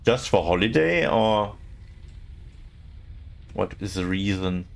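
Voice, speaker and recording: A man, speaking English as a while streaming a game and chatting casually with viewers, often pausing to search for words. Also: sits low, expressive, creaky. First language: not English. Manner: halting, searching for words, many fillers.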